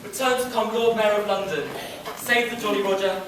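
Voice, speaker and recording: A man's voice: high voice, fairly even intonation, a little fast, close to an everyday voice. A man playing a hopeful, determined young adventurer, declaiming in an amateur school pantomime. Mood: hopeful, resolute. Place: school stage in a hall. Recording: echoey hall, far off, crowd noise.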